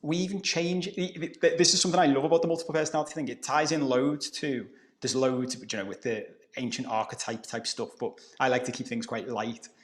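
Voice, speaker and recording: A middle-aged man from Liverpool with a softened Scouse accent, explaining ideas as a coach on a podcast. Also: mid-range voice, steady tone, fairly quick, hardly any pauses. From Liverpool, England. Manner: explanatory, conversational, occasional swearing. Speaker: guest coach. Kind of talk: podcast conversation.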